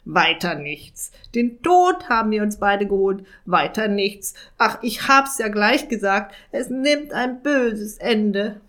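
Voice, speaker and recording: A woman voicing a gloomy, complaining male raven with a croaking, rasping voice in a storybook reading. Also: mid-range voice, expressive, takes it slowly, hardly any pauses, fairly bright tone. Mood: indignant. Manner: whining, long-winded complaining.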